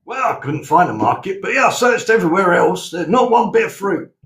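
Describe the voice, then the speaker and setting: A man hosts a live chat stream, rambling through stories with plenty of ums and false starts. His voice sits a little high and swings widely in pitch. The room around him rings with echo. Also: very animated, a little fast, a bit bright. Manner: rambling, chatty, with many ums and restarts. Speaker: host.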